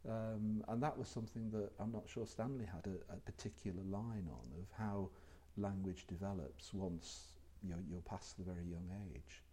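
Older male ex-lecturer, deep husky voice, thoughtful and halting. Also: warm tone. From England. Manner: hesitant, reflective, many ums. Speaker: retired lecturer being interviewed. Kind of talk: oral history interview.

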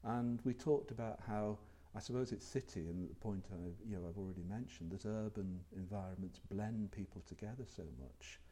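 A man's voice: fairly low pitch, fairly quick, hardly any pauses, warm tone. An older man, a former university lecturer, recalling his career in a reflective, hesitant way full of ums. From England. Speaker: retired lecturer being interviewed. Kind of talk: oral history interview.

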